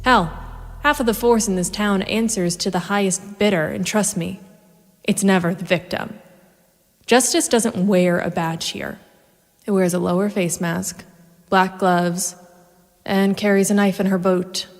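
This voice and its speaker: An actress playing a grim, vengeful female private investigator, narrating a noir audio drama in a hardboiled, deliberate, brooding manner. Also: mid-range voice, steady tone, lightly acted.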